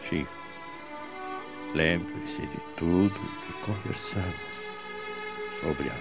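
Casting: serene dying patriarch, played by a male actor, deep gravelly voice, halting farewell delivery, radio play. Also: full character voice. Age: older. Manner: slow, halting, gentle farewell. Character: dying old father, serene, spiritual, consoling his children. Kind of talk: radio drama acting. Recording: muffled audio under loud violin music.

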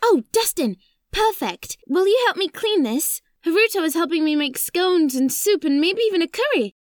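A kind young schoolgirl with a very high voice. She speaks politely and eagerly, in the manner of a children's radio play. The sound is dry and close. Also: sing-song, moderate pace, dry recording. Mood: bright, busy. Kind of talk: children's audio drama acting.

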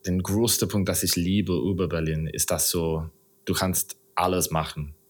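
A man's voice: deep voice, steady tone, normal speed. A man from England with an English accent, a non-native speaker chatting casually as an interview guest and often searching for words. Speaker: podcast guest.

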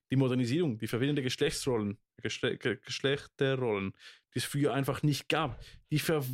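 A man in his twenties with a Swiss German accent, co-hosting a casual podcast and often pausing on fillers and restarts. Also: medium pitch. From Switzerland. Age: 20s. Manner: hesitant, lots of fillers and restarts. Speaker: podcast co-host. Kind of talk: casual chat.

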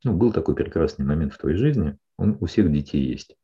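A male psychologist calmly explaining ideas to a client in a session, often checking in with short questions. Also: rumbling low, a little fast, slightly rough, muffled recording. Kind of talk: counseling session.

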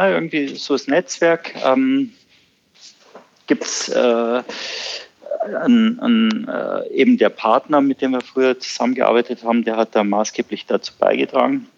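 A man from Bavaria with a Bavarian accent, a podcast guest talking casually and haltingly with lots of fillers. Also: medium pitch, gravelly, dull audio. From Bavaria, Germany. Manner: relaxed, hesitant with many fillers. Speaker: podcast guest, surf school owner.

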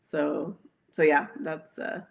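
A woman speaking as an interview guest in casual chat, pausing often and letting phrases trail off. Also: sits low, level tone, vocal fry.

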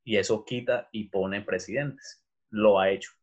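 Low-voiced man, muffled lo-fi sound. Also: steady tone, a little slow, a little mellow. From Venezuela. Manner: thoughtful, many fillers and restarts. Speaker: teacher interviewed as guest. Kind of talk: casual interview. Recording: dull lo-fi sound.

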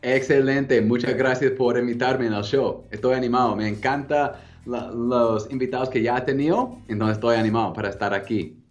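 A man's voice: mid-range voice, moderate pace, hardly any pauses, faint music behind. American man speaking learned Spanish, hesitant. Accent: American English. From United States. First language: English. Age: 30s. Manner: relaxed, hesitant with frequent ums and restarts. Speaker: guest entrepreneur. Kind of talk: casual interview chat.

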